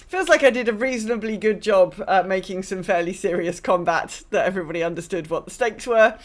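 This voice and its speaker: Chatty woman podcast host. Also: mid-range voice. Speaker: podcast host and game master. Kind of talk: casual podcast chat.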